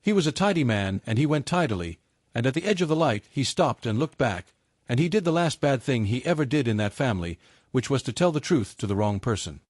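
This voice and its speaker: Man, audiobook narrator, dramatic scripted storytelling, lively varied intonation, dry studio-like sound. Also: mid-range voice, a little fast, no room sound.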